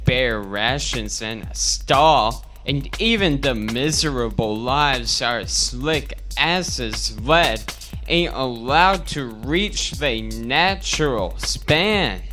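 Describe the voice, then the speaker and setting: Man performing a very slow, declamatory old boar orator. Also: mid-range voice, expressive, pushed and bright, faint music behind, exaggerated voice. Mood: grave, indignant. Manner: declamatory, preaching, slow with dramatic pauses. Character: old boar pig orator, rousing revolutionary farm leader. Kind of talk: theatrical audiobook reading in slangy parody speech.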